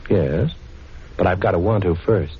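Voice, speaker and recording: Over a muffled, noisy old radio recording comes a low-voiced gentleman adventurer. He is cocky and chivalrous, tossing off wisecracks with unflappable ease in 1940s crime drama style. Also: a little slow. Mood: wry, firm. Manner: breezy, wisecracking, reassuring.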